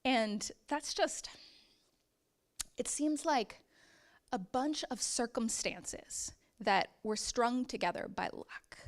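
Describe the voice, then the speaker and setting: A woman's voice: a little high, expressive, takes it slowly. A young woman giving a prepared personal speech to an audience, earnest and encouraging, with the odd hesitant "um". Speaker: conference speaker.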